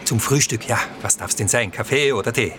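An actor playing a prying, evasive train conductor with an Austrian accent, repeating himself in a radio play. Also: male voice, mid-range voice, a little fast, noisy background, close to an everyday voice. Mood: officious. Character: pushy, nosy, secretive male night-train conductor. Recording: loud music behind the voice.

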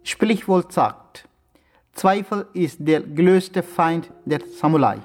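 An actor playing a solemn martial arts master who speaks in clipped, broken proverbs in a German radio crime comedy. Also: male voice, fairly high pitch, takes it slowly. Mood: meditative. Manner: sententious, clipped broken grammar.

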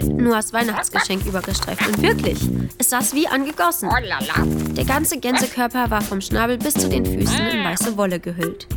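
A woman playing a wry big sister who narrates a family radio play with youthful expression. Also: on the high side.

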